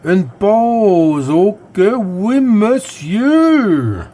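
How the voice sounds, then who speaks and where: Québécois guy on the cb, talks slow, drawn-out, fillers everywhere. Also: high voice, big pitch swings, very noisy. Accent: Québécois French. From Quebec. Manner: drawn-out, filler-heavy, uses radio codes. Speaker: CB radio operator. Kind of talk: casual CB radio chat.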